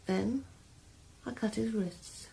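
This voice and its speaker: An actress playing a young woman killer calmly confessing her revenge in a 1980s radio drama. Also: a little high, vocal fry, background noise. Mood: cold confessing. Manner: calm, measured, quietly cold. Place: sauna.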